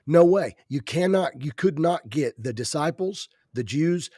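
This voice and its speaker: A man's voice: on the high side, lively intonation, a little slow, warm tone, no room sound, studio quality. A man co-hosting a podcast, stuffy-nosed, arguing his point earnestly and often restarting his sentences. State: stuffy nose. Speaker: podcast co-host. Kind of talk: casual religious discussion.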